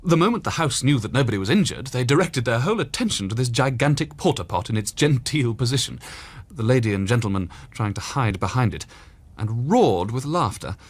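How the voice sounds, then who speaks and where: An actor playing an eloquent Victorian storyteller, reading literary passages in a measured, expressive and dramatic way. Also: male voice, mid-range voice, husky. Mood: amused. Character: Charles Dickens, eloquent Victorian storyteller recounting memories. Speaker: reader of Dickens passages.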